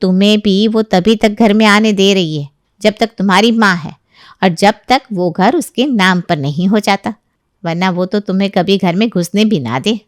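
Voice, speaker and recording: A woman's voice: mid-range voice, normal speed, dry recording, clean studio sound, natural voice. A woman playing a sly, gossipy neighbour in a Hindi audio story, with an insinuating, persuasive way of talking. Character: scheming meddling neighbour woman, sly and gossipy, stirring quarrels.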